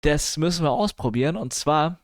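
A man's voice: on the high side, animated, normal speed, no echo, clean studio sound. A man chatting casually as a live streamer, mixing in English gamer slang and grumbling asides. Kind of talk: casual livestream chat, reacting to news and viewers.

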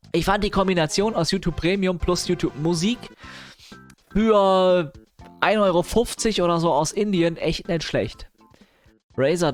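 A man chatting casually as a live streamer, mixing in English gamer slang and grumbling asides. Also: on the high side. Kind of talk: casual livestream chat, reacting to news and viewers.

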